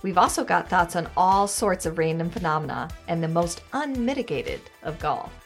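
A woman's voice: on the low side, expressive, moderate pace, soft background music. A woman co-hosting a pop-culture podcast, making playful, laughing asides in a promo.

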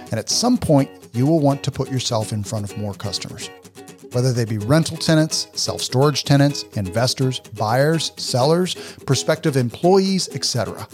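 A man who hosts a podcast, reading a scripted intro and then chatting with a guest in a friendly, upbeat way. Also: mid-range voice. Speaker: podcast host. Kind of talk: conversational podcast interview.

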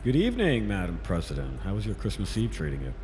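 Deep mellow lead scientist, wry and careful, lively intonation, big reverb. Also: male voice, fast talker. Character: cautious, dry-humoured male scientist team leader. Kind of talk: audio drama acting. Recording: long reverb, faint background noise.

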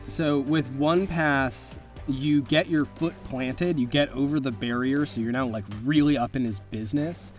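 A man's voice: medium pitch, unhurried, few pauses. A man narrating an improvised tabletop game as game master, casual and vivid in his describing. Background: music.

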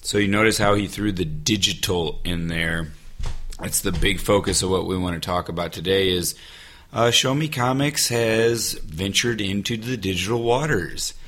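A man in his thirties co-hosting a casual podcast, slightly hoarse from losing his voice, joking and laughing often. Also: sits low, normal speed, fairly bright tone. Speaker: podcast co-host.

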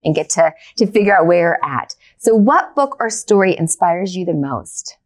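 A woman's voice: lowish voice, very animated, normal speed. A woman hosting a casual interview, agreeable and affirming toward her guest. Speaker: podcast host.

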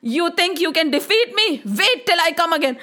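A woman's voice: extremely high pitch, big pitch swings, normal speed, bright, forceful tone, full character voice. A woman storyteller voicing a boastful giant serpent demon that gets bewildered and exclaims in indignant questions. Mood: indignant, taunting.

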